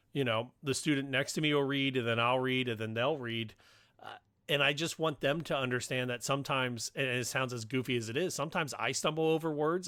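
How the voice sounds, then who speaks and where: A man's voice: medium pitch, fairly bright tone, no room sound, studio quality. A man hosting a podcast discussion, moderating and talking through points with co-hosts. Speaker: podcast host.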